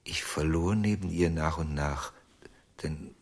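A husky, haunted ventriloquist. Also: male voice, rumbling low, full character voice. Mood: confessional. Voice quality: husky, hesitant with many pauses. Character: haunted, obsessive male ventriloquist, middle-aged. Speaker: ventriloquist under questioning. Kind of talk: German radio drama.

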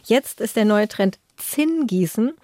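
A woman's voice: fairly high pitch, expressive, measured pace, mellow, no room sound, clean studio sound. A woman chatting casually as a podcast co-host. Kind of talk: casual chat. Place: podcast studio.

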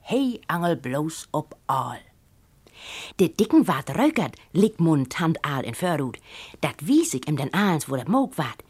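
A woman with a Northern German Low German accent telling a first-person literary story expressively. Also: very animated, unhurried, darkish tone, slightly rough.

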